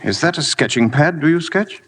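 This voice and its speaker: An actor playing an aloof, dryly ironic wealthy gentleman in golden age radio drama style, curt and commanding under formal politeness. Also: fairly high pitch, expressive, normal speed, slight background noise. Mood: politely curious. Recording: vintage radio broadcast with faint music.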